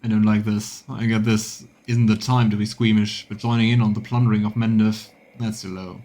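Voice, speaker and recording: A man voicing a firm, principled war councillor in a fantasy game, speaking in a measured, disapproving manner. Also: on the low side, level tone, mellow, voice pushed down, natural voice.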